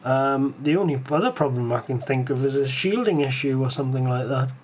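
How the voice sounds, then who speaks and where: A man's voice: mid-range voice, fairly quick, a little hiss or hum. A man with a Geordie accent hosting a live tech stream, musing aloud with lots of ums and restarts as he answers viewers. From Tyneside, England.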